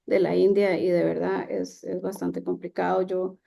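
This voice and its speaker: A woman's voice: on the low side, level tone, moderate pace, gravelly, lo-fi sound. A woman in her thirties answering questions in an online talk on trade, hesitant, with many fillers and restarts. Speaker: guest speaker on trade. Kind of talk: lecture Q&A.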